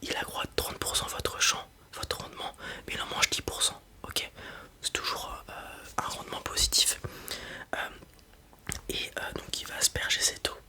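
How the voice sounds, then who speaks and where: A person whispers an ASMR roleplay as a breeder advising a customer, explaining informally step by step with hesitations and little check-ins. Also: normal speed.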